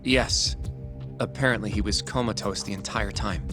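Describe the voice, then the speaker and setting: A man's voice: lowish voice, steady tone, normal speed, natural voice. A male actor playing an earnest, precise assistant who reports in an orderly, matter-of-fact way in a video game cutscene. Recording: faint music behind the voice. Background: faint background music.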